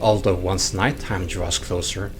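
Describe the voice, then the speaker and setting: Deep-voiced man narrating analysis. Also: fairly even intonation. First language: Norwegian. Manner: analytical, measured. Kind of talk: scripted narration. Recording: voice-over over loud game music.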